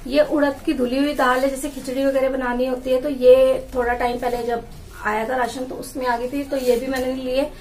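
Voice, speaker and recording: A woman's voice: high-pitched, flat delivery, normal speed, darkish tone, a little hiss or hum, poor audio. A woman in her thirties, a housewife vlogger, chatting casually as she shows her groceries on camera in a small tiled room. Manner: chatty, explaining while handling jars and bags. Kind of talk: casual home vlog showing groceries. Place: small indoor room with tiled walls and wooden door.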